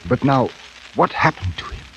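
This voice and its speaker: An actor playing a sober, compassionate gentleman writer in a 1940s radio drama, speaking in a measured, grave manner. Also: mid-range voice, big pitch swings, slightly rough, exaggerated voice. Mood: concerned. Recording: dull old radio recording.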